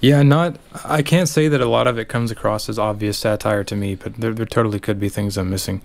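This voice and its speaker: A man's voice: on the low side, fairly even intonation, a little fast, creaky. A man co-hosting a podcast, chatting in an easygoing way with plenty of fillers. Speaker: podcast co-host. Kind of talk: casual chat.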